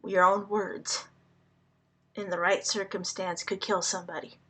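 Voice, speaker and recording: A woman whose first language is Hungarian, speaking to the public in a slow, earnest monologue, halting and deliberate with many pauses. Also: mid-range voice, little pitch movement, bright, forceful tone, husky. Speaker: vlogger addressing the public.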